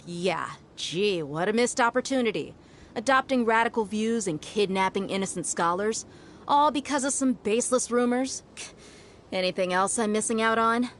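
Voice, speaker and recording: Woman playing a wry, animated desert mercenary. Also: medium pitch, moderate pace, a little hiss or hum, natural voice. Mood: sarcastic. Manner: casual, sardonic. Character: confident, wry female desert mercenary, cool under pressure. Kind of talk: anime-style video game voice acting.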